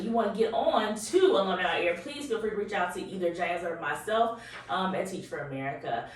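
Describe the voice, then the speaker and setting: A woman's voice: mid-range voice, fairly bright tone. Enthusiastic woman host in echoey office. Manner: enthusiastic, effusive. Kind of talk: upbeat interview chat. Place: office room. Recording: echoey room, distant mic.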